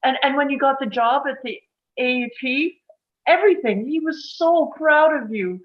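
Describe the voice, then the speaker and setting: An actress plays a wistful small-town woman carrying an old, unanswered love. Her voice sits fairly high and she speaks earnestly and haltingly, with open feeling. The microphone sound is slightly dull. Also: lightly acted. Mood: tearful, wistful. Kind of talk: table read acting.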